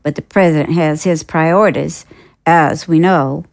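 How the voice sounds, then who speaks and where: A woman's voice: low-pitched, measured pace, gravelly. A woman playing a skeptical, imperious senator in an audio drama, with a pointed, formal, theatrical delivery. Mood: troubled, skeptical.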